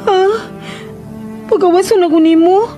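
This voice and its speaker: Casting: chatty young Filipino maid, radio soap, very high whiny voice, deferential and hesitant. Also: female voice, fairly even intonation, a little slow, warm tone, faint music behind. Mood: flustered, coaxing. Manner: flustered, hesitant, whiny pleading. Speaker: radio drama actress. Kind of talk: Filipino radio drama acting.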